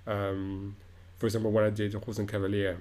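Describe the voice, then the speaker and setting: Thoughtful low-voiced man, halting speech. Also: completely flat delivery, a little mellow. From Morocco. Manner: thoughtful, halting, many restarts and fillers. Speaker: opera director, guest. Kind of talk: reflective interview answers.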